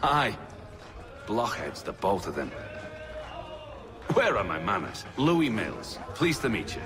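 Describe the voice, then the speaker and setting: A man's voice: on the low side, sing-song, slow pace, music in the background. A man playing an affable, level-headed sailor in a video game, talking frankly as the crew's peacemaker. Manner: frank, conversational.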